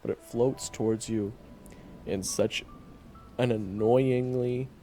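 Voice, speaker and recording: A man playing a sardonic, guarded monster hunter in a fantasy audio roleplay, with a gravelly voice and a dry, teasing, bluntly dismissive manner. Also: medium pitch, steady tone, slow, dark tone, faint music behind, voice pushed up, natural voice. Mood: dry, exasperated. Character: sardonic, guarded young male monster hunter, begrudgingly chatty. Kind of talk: fantasy audio roleplay acting, addressed to the listener.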